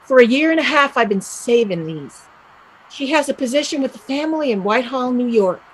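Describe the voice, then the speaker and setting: A woman playing a restless young Irish village woman set on emigrating, speaking emphatically and deliberately in a stage play reading. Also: high voice, expressive, husky, poor audio. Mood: determined.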